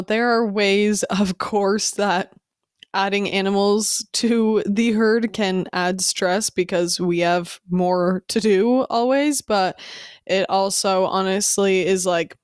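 A woman chats as a podcast co-host, slightly stuffed up from allergies. Her voice is smooth and clean, mid-pitched, recorded close in a dry space. She talks in a bubbly, loose way, peppered with "like". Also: dry recording. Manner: bubbly, says "like" a lot. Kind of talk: casual chat.

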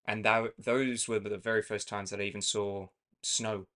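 A man's voice: lowish voice, little pitch movement, moderate pace, pushed and bright. A young Australian man co-hosting a podcast, talking in a rambling, self-correcting way and laughing easily. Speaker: podcast co-host. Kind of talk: casual chat.

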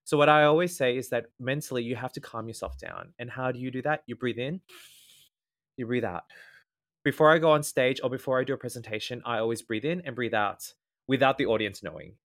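A man's voice: medium pitch, fairly quick, fairly bright tone. An Australian man with a slightly nasal voice, a guest in a casual interview, earnestly explaining advice point by point. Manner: earnest, explanatory, lays out tips in ordered points. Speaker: guest speaker, former event MC.

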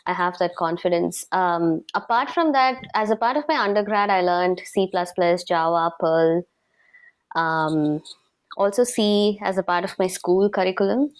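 A woman's voice: lowish voice, a little slow. A young woman from South India, an interview guest, explaining things earnestly in a casual chat with plenty of fillers.

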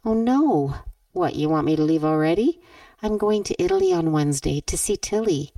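A woman's voice: on the low side, expressive, normal speed, warm tone, no room sound, far from the mic, natural voice. A woman reading aloud, voicing the narrator's teasing younger brother, an adult man, in a storybook. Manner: joking, teasing.

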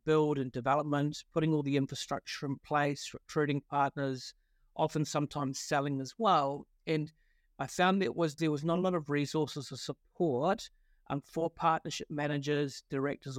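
A man in his forties with a New Zealand accent, answering interview questions in a halting way, with many fillers and restarts. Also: a little high, no echo, studio quality. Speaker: guest, partnership consultant. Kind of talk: podcast interview.

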